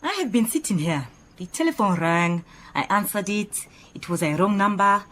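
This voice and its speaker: Actress as an animated, opinionated woman assistant. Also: medium pitch, moderate pace, natural voice. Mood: coy, chatty. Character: earnest, opinionated female detective's assistant, proud and chatty. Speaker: actor in radio drama. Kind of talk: radio drama acting.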